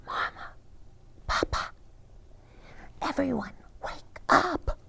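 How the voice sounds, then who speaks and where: A woman voicing an earnest, wistful young female reindeer from a storybook, with hushed exclamations. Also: slow pace. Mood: excited.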